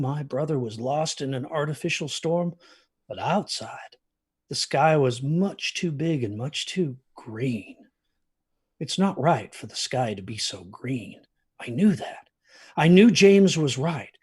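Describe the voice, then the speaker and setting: High-voiced, anxious young storyteller played by a man. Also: breathy edge. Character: anxious, guilt-ridden young son recounting a storm. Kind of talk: first-person dramatic story narration.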